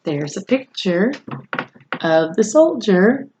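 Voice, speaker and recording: A woman reading a storybook aloud as narrator, in measured, deliberate phrases. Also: medium pitch, big pitch swings, noisy background.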